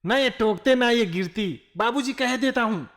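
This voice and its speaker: Guy doing impatient grown son in a cartoon, sure of himself, highish voice. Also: moderate pace, a bit bright. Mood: angry scolding. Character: grown son, scolding, impatient, self-assured.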